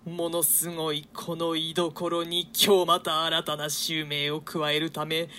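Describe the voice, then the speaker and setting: Stage drama: woman plays doomed, pious nobleman, solemn archaic declamation, measured pace, forceful bright tone. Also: deep voice, level tone, a little hiss or hum. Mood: bitter, defiant. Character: condemned nobleman, grave, bitter and pious. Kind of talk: theatrical reading of archaic translated Shakespeare.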